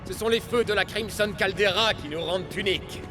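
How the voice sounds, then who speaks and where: An actor playing a proud, eager young raider in a children's cartoon, with a boastful, dramatic manner. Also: male voice, high-pitched, a little fast, natural voice. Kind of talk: French animated children's series dub. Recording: loud music behind.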